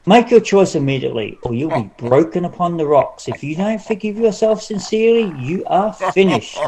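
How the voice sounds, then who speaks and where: A man's voice: expressive, moderate pace, a little mellow, breathy edge, exaggerated voice, poor audio. A man voicing a huge, mocking giant in a storybook reading, commanding and threatening. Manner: thunderous, urgent, booming.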